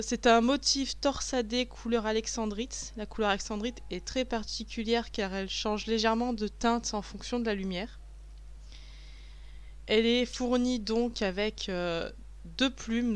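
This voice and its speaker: A woman's voice: mid-range voice, clean tone. A woman and mother of a young child, talking over her unboxing video afterwards in a chatty, self-mocking way, with many fillers and restarts. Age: adult, a mother of a young child. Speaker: vlogger reviewing a package. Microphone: voice-over recorded afterwards, not while unboxing.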